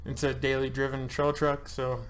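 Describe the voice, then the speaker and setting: A man's voice: medium pitch, completely flat delivery, normal speed. Chatty man in his thirties walking through a form. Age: 30s. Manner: loose, chatty, joking, with frequent ums and uhs. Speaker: channel host. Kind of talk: casual screen-share walkthrough.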